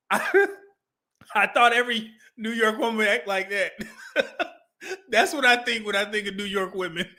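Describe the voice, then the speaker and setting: A man's voice: high voice, expressive, measured pace, long pauses, bright, forceful tone. A man doing casual reaction commentary on a stream, laughing often and repeating lines he just heard.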